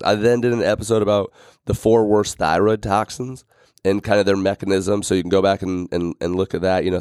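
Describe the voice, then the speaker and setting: A man hosting a health podcast in casual, rambling talk, his voice a bit raspy today. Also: lowish voice, darkish tone, no echo, studio quality. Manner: rambling, many fillers and restarts. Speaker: podcast host.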